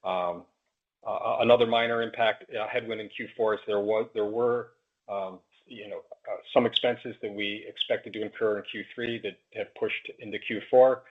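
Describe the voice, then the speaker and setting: A man with a lowish, husky voice explains figures as a finance executive on an earnings call. His delivery is halting, with repeated words, and stays very flat. The audio is slightly muffled. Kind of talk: answering analyst questions on earnings call. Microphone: conference call line.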